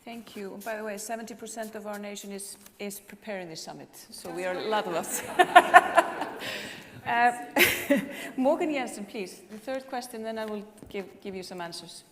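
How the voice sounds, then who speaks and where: A woman moderating a panel, light and quick to laugh. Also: medium pitch, fairly bright tone. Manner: light, laughing, brisk with turns. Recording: echoey reverberant hall.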